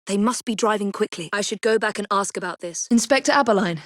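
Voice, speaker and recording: An actress playing a poised, determined young assassin in a Victorian London video game, with an English accent and a crisp, politely commanding delivery. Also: female voice, medium pitch, fast talker, no room sound, clean studio sound, close to an everyday voice. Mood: assertive, investigating. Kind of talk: scripted game voice acting.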